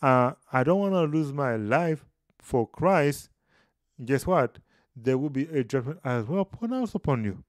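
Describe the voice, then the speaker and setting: Man, 30s, Bible teacher, casual explainer, mid pitch, dry booth sound. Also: unhurried, dry recording. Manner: explanatory, animated hand gestures. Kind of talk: casual Bible study video. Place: small room indoors. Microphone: studio mic on boom, headphones.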